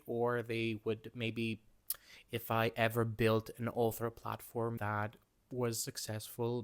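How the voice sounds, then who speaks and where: A man's voice: medium pitch, a bit bright. A young man from Greece with a Greek accent, a native Greek speaker, talking candidly and with some self-doubt in a rambling vlog monologue about his struggles. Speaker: booktuber.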